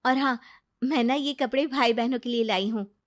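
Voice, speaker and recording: Woman as cartoon young daughter, fairly high pitch, earnest, dutiful, kind. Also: moderate pace, natural voice. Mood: gentle, assured. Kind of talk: Hindi cartoon dubbing.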